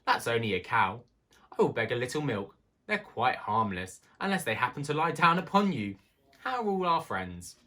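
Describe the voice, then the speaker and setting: A man's voice: medium pitch, big pitch swings, normal speed, bright, forceful tone. A man voicing a timid, polite male country mouse in a storybook, flustered and eager to please. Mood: reassuring. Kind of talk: storybook character voice.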